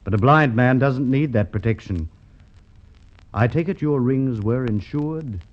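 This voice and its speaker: An actor playing a brisk, shrewd male police inspector, in vintage radio drama style. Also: mid-range voice, highs cut off, close to an everyday voice. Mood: shrewd, accusing. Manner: commanding, measured questioning. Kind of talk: Golden Age radio drama acting.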